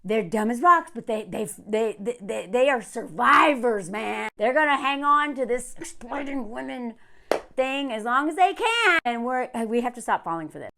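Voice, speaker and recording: Woman, American, high-pitched, swooping intonation, casual vlog rant, sardonic, emphatic. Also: expressive, moderate pace, gravelly, sharp tone. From southern United States. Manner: emphatic, sardonic, laughing often. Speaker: online content creator.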